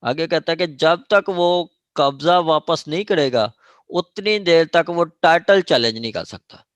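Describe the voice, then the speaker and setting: A man's voice: on the high side, unhurried, no room sound. A man with a Pakistani accent gives an informal law lecture, explaining step by step, repeating himself, checking in often and mixing in English legal terms. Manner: explanatory and repetitive, with frequent check phrases; switches between Urdu and English legal terms. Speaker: law lecturer at a judicial academy.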